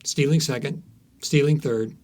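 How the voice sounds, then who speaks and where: A man's voice: mid-range voice, unhurried, a little mellow. A man speaking as an author being interviewed, telling stories conversationally with frequent false starts and repeated words.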